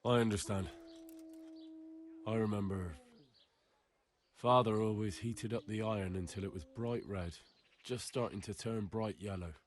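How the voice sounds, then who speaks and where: A man's voice: fairly low pitch, fairly even intonation, measured pace, long pauses, slight background noise, close to an everyday voice. Earnest young medieval lad played by a man. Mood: wistful. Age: young adult. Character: earnest, polite young medieval blacksmith's son, inquisitive and plain-spoken. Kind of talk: video game voice acting.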